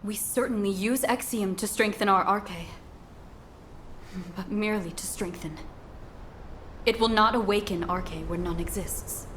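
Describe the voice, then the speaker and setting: A woman plays a solemn warrior in a video game. She speaks in a mid-range voice with earnest, stiffly formal phrasing. A faint rumble lies behind her. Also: measured pace, pauses often, a bit bright, lightly acted. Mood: grave, formal.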